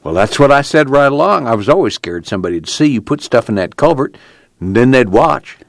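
A young man on the run, weary but quietly resolute, voiced by an audiobook reader. He talks low and unhurried in plain rural speech, and his pitch rises and falls freely. The voice sits well below the reader's own. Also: expressive, vocal fry, no echo, studio quality. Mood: wry. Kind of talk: audiobook character reading.

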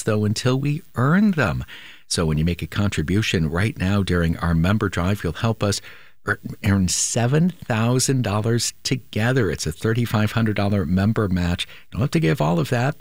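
A man hosting a classical music radio station, making a calm, measured pledge drive appeal from a studio microphone. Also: fairly low pitch, dry recording. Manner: calm, measured, sometimes drops to a near whisper.

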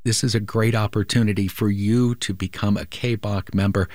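A man's voice: lowish voice, fairly even intonation, normal speed, darkish tone, no room sound. A male radio host making an earnest, persuasive appeal during a pledge drive.

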